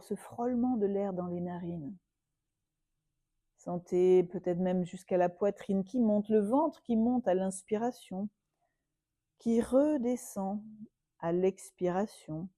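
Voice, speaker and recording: Female yoga teacher for guided relaxation: very dark, soft-edged tone, dry close sound, soothing and unhurried. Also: medium pitch, no room sound. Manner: soothing, unhurried, with long pauses.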